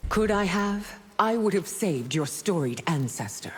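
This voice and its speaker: A woman's voice: lowish voice, expressive, unhurried, slightly rough, in a large hall. A woman playing a proud, regal, long-lived empress in a video game, composed and haughty. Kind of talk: video game character acting.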